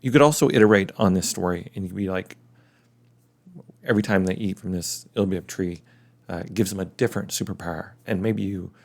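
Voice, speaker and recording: A man's voice: low voice, a little fast, gravelly. A middle-aged man hosting a casual, conversational demonstration in a musing way. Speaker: host.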